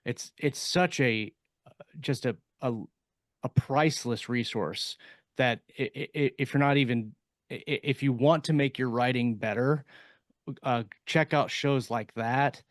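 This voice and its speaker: A man co-hosting a casual writers' podcast, speaking haltingly and often repeating the starts of his words. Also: medium pitch, no echo, studio quality. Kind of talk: casual panel discussion.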